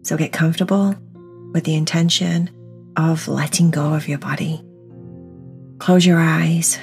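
A woman's voice: low voice, fairly even intonation, unhurried, creaky, darkish tone. A woman guiding a meditation in an instructional, soothing and encouraging manner, stressing words by repeating them. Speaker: meditation guide. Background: music.

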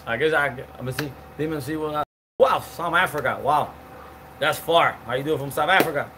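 Man in his forties, live-stream host, rambling chat, greets viewers by name, fairly high voice, faint hiss, outdoor patio. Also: moderate pace, bright, forceful tone. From Cuban. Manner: chatty, rambling, many fillers and restarts, greets viewers by name. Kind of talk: casual live-stream chat reading comments. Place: outdoor patio with palm trees.

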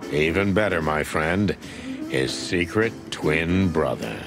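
Very deep, weary noir rooster detective. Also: male voice, slow pace, exaggerated voice. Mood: deadpan. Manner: deadpan, wry, terse. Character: world-weary older rooster detective, dry and hard-boiled. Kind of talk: film noir game voice acting. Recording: music behind the voice.

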